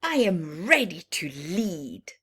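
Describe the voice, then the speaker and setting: Woman voicing a bold, very unhurried little wolf pup. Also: lowish voice, sing-song, bright, forceful tone, slightly rough, exaggerated voice. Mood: eager, commanding. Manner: bold, declaring, eager to lead. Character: small boastful young male wolf pup, eager and stubborn. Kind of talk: children's storybook character voice.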